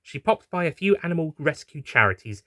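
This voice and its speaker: A man's voice: on the high side, sing-song, fairly quick, bright, forceful tone, no echo, clean studio sound. A man reading a scripted adventure story as its narrator.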